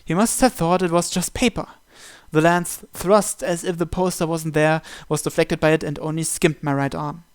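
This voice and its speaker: A man with a fairly high voice narrates a story in the first person. He reads expressively and dramatically, with the odd stumble, and the sound is dry with little room echo. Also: steady tone, normal speed, clear, resonant tone. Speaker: video game player reading a visual novel aloud. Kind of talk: dramatic read-aloud narration.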